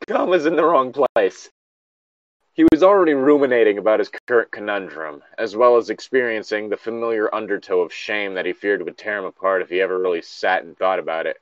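A man's voice: on the low side, no echo, dull audio. A man casually reading a story aloud and commenting on it in an exasperated, sweary way. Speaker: co-host reading and mocking an online horror story.

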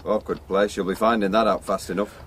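Terse cart driver. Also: male voice, fairly low pitch, steady tone, fairly quick, a bit bright, slightly rough. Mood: dour. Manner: terse, blunt, clipped phrases. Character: gruff, taciturn rural English pony-trap driver. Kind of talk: radio drama acting.

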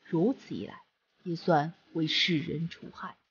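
A woman voicing a stern young male immortal disciple, speaking low and measured. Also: slightly rough, dull audio, heavily acted.

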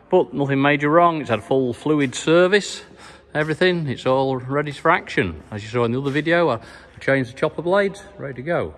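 A middle-aged man farmer giving a casual video diary, talking in a plain, unhurried way with many fillers, filmed on a phone held at arm's length. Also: lowish voice, a bit bright, big-room sound. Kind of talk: casual farm vlog. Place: farm sheds and yard, indoors and outdoors.

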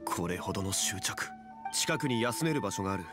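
Composed, dignified scholarly gentleman. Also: deep voice, expressive, over loud music. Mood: grave, observant. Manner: composed, measured, formal. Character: calm, dignified, scholarly male consultant, young-looking, ancient gravity. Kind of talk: anime video game voice acting.

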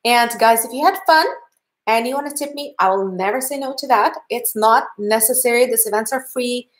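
A woman's voice: fairly high pitch, brisk. Upbeat woman with a Russian accent. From Canada. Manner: friendly, chatty, upbeat. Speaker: painting instructor. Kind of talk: livestream painting class wrap-up. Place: home art studio, indoors.

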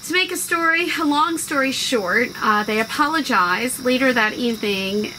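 Higher-voiced woman with crickets chirping behind. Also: lively intonation, normal speed, bright, forceful tone, low-quality recording. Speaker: co-host, host's wife. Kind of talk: casual storytelling. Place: outdoors on a house porch at dusk. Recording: clear outdoor insect noise. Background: crickets and insects.

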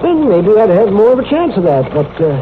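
An actor playing a kindly, modest frontier doctor in a radio western, with a hesitant, folksy way of talking. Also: male voice, high-pitched, big pitch swings, a little fast. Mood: modest, wistful. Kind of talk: old-time radio western acting. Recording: tinny, noisy old radio recording.

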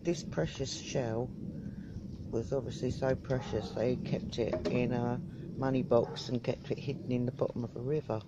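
A man's voice: medium pitch, fairly even intonation, normal speed, lo-fi sound. A man filming and chatting in a teasing, joking way while his friend opens a box outdoors in a garden. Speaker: co-host filming. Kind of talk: casual chat. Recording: noisy outdoor background.

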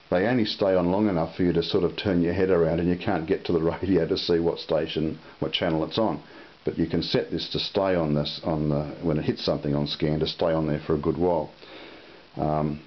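A man giving a casual, unscripted product demonstration in a halting, unhurried way. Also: low voice. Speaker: product reviewer. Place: indoor room at a table. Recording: muffled lo-fi audio with hiss.

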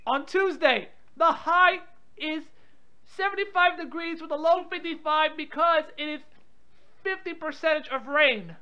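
A woman's voice: high voice, unhurried, pushed and bright. A woman with an irritable, confrontational manner, bantering on a phone call in dull lo-fi audio. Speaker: prank call participant. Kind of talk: phone banter, reading out weather updates.

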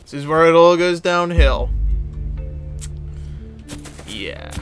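A man's voice: fairly high pitch, expressive, slow. A man hosting a gaming video with casual, jokey, self-mocking commentary, exclaiming and talking to the characters in the game. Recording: music playing behind the voice. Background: music.

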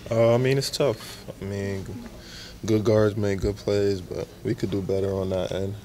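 A young man, a basketball player, giving brief, measured post-game answers in a locker room. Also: lowish voice, little pitch movement, mellow. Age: young adult.

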